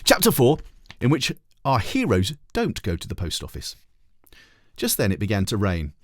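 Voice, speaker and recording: A man reading a children's storybook aloud as a teacher, in an expressive narrating style. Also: lowish voice, normal speed, no echo, clean studio sound.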